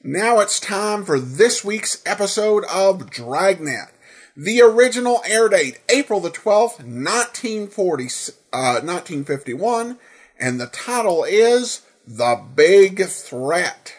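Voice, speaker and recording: A man with an American accent hosting a podcast, giving an informal introduction with somewhat halting phrasing. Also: sits high, big pitch swings, breathy edge. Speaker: podcast host.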